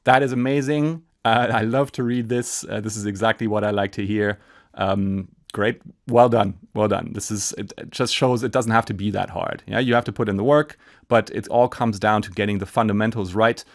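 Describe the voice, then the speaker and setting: A man's voice: on the low side, fairly even intonation, moderate pace. A man in his thirties with a German accent, answering viewer questions about acoustics in a casual, enthusiastic way with plenty of fillers and restarts. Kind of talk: casual explanatory talk. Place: home studio room with acoustic treatment, indoors. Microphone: studio microphone on a stand in front.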